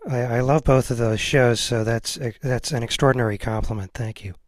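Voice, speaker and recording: A man's voice: medium pitch, steady tone, normal speed, no room sound, studio quality. A man hosting a casual podcast interview, agreeable and supportive as he listens and asks questions.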